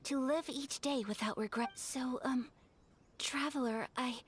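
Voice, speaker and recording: An actress playing a gentle, earnest young noblewoman in an anime game, courteous and careful in her speech. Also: high voice, a little slow, a little hiss or hum. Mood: shy, earnest.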